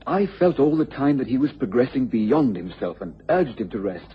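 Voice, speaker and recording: An actor playing a smooth-talking, scheming scientist's assistant in a radio mystery, speaking in a polite, formal way. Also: male voice, medium pitch, sing-song, normal speed. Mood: defensive. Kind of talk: radio mystery drama acting. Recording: muffled radio recording with background noise.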